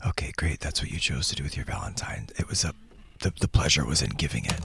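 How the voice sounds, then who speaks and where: A man co-hosting a radio show, answering in a dry, deadpan whisper. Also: very deep voice, quick pace, gravelly. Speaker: radio co-host. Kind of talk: whispered banter.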